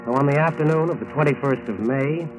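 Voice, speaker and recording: An American actor narrating a 1940s radio crime drama in an emphatic, deliberate, authoritative manner. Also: male voice, mid-range voice, lightly acted, low-quality recording. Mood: grave, factual. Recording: old 1940s radio, music behind.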